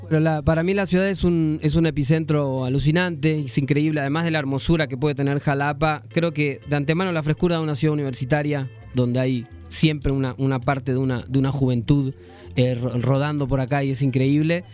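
This is a man from Argentina, a musician guest on a radio program, and his voice is smooth and resonant, pitched a little high. He speaks thoughtfully, often restarting phrases as he reaches for the right words. Soft music plays faintly behind him. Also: steady tone, a little fast. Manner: reflective, searching for words with many restarts. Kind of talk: radio interview.

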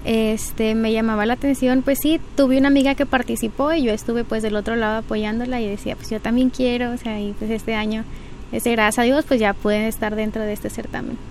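A woman's voice: a little high, level tone, fairly quick, darkish tone, a little hiss or hum. A woman, answering interview questions politely and a bit hesitantly, with frequent filler words. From Mexico. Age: 20s. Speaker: pageant candidate.

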